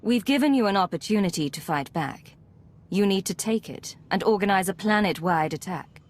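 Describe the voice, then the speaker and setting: A woman playing a composed, resolute Imperial agent commander in a video game, speaking in a measured, firm manner. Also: mid-range voice, expressive, lightly acted.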